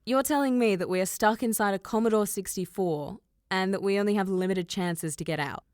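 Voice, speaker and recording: A woman's voice: mid-range voice, a little fast, darkish tone, no echo, lightly acted. A bewildered teenage girl, acted by a woman reading an audiobook, sounds flustered and disbelieving. Her exasperated delivery comes through on a dry, close recording. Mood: dismayed. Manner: incredulous, flustered. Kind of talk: audiobook character dialogue.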